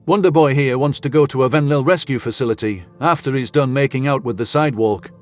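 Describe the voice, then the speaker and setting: A man's voice: medium pitch, quick pace, faint music behind, natural voice. A man voicing a teasing, sarcastic male human soldier in an audio story. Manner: sarcastic, needling.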